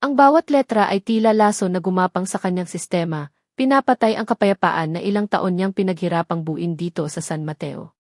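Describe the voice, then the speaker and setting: A woman's voice: on the low side, a little fast, dry recording. Woman narrating a dramatic romance story, dry sound.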